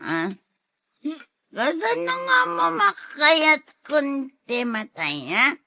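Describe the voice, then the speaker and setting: A woman playing a grieving, defiant young woman in an emotional audio drama, slow and tearful. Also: sits high, wildly swooping pitch, bright, forceful tone, exaggerated voice. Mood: defiant, upset. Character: grieving, defiant, drunk young woman mourning her boyfriend.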